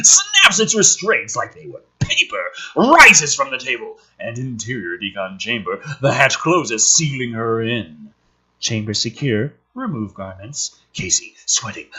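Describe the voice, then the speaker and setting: A man with a very sharp, forceful voice and a rough, husky edge reads a script aloud theatrically. His pitch swings widely as he breaks off into exasperated, foul-mouthed commentary as the host. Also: rough recording. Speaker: host reading a film script draft with commentary. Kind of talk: dramatic script reading with sweary, sarcastic commentary.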